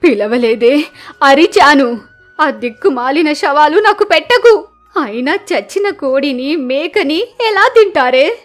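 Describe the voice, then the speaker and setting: A woman voicing a bossy, exasperated older mother-in-law in a village moral story, loud and quick to scold. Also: high voice, animated, soft background music. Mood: angry, scolding.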